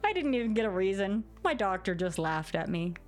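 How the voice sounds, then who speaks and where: A woman's voice: medium pitch, sing-song, brisk, over music. A woman hosting a vlog, reading posts dramatically and commenting on them in a sarcastic, theatrical way. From Canada.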